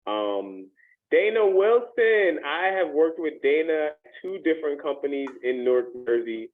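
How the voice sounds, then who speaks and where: A man's voice: a little high, lively intonation, measured pace. A male host of a networking call, chatty and friendly, chuckling through his asides. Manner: chatty, friendly, laughs while telling asides. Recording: tinny phone-like line.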